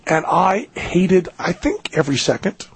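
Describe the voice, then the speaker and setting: A man's voice: sits high, big pitch swings, a little slow, a little hiss or hum. A middle-aged man hosting a radio talk show, joking casually and laughing often.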